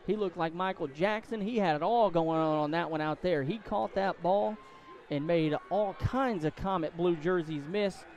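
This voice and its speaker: A man doing excitable live play-by-play commentary of a high school football game, outdoors at the stadium. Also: normal speed, lo-fi sound. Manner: excitable, voice climbs to shouts on big plays. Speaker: play-by-play sports announcer.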